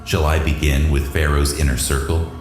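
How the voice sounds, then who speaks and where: A man's voice: a bass voice, level tone, moderate pace, echoey room, faint music behind, pitched below the performer's own voice. A man voicing a formal, obsequious lieutenant who serves an alien overlord in a science fiction audio drama. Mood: deferential, calculating. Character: deferential, scheming male lieutenant to an alien overlord.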